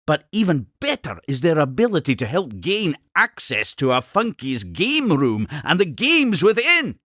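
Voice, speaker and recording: A man's voice: a little high, wildly swooping pitch, unhurried, fairly bright tone, dry recording, studio quality, exaggerated voice. A man voicing an exuberant, eccentric Scottish explorer-inventor creature in a cartoon game, grandiose and effusive with old-fashioned phrasing. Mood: eager, boastful. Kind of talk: theatrical cartoon game character dialogue.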